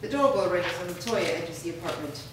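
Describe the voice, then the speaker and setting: A woman's voice: fairly low pitch, animated, fast. A woman reading scripted stage directions as the narrator of a staged reading. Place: live reading in a reverberant room. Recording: echoey noisy room, distant microphone.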